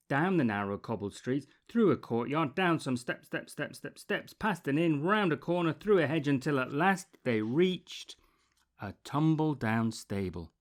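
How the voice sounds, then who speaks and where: Man reading a children's storybook, slow and expressive. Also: mid-range voice. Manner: deliberate, dramatic phrasing with pauses, repetition and emphasis. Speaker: storybook reader.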